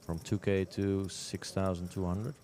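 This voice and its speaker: Low-voiced Dutch man commentating poker. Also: level tone, a little slow, warm tone. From Netherlands.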